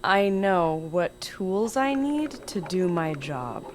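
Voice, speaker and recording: A woman's voice: fairly low pitch, very animated, takes it slowly, a little hiss or hum. An actress playing a frustrated, defiant working woman and daughter in a stage drama, with an intense, emphatic manner. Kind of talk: stage play acting.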